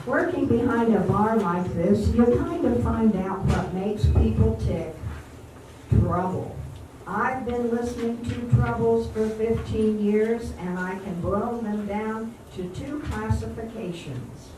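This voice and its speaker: An amateur actress playing a wry, world-weary bartender who delivers quips in a deadpan way in a radio drama. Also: female voice, on the high side, takes it slowly. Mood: knowing, amused. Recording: very echoey, noisy hall, distant mic.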